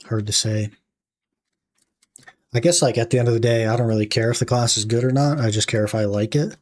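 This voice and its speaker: Raspy man, young adult streamer, musing aloud to chat, keyboard clacking behind. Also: mid-range voice, a little fast. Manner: relaxed, musing aloud while playing, talking to chat. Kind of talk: casual gaming livestream chat.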